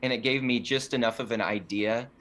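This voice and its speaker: A man's voice: medium pitch, steady tone, brisk, fairly bright tone. A young man, a musician guest on a podcast, telling stories in an easygoing, self-deprecating way. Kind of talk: casual interview chat.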